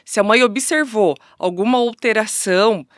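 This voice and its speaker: A woman's voice: mid-range voice, moderate pace, dry recording, studio quality. A Brazilian woman guest explaining as an orthodontist in conversation, often tagging phrases with "né". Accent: Brazilian Portuguese. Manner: frequent "né?" tags.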